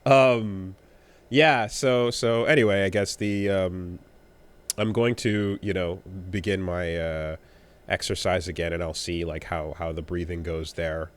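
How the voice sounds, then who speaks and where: A man co-hosting a podcast in casual chat, rambling and halting with many restarts, a bit winded after being sick. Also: low voice, fairly even intonation. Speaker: podcast co-host.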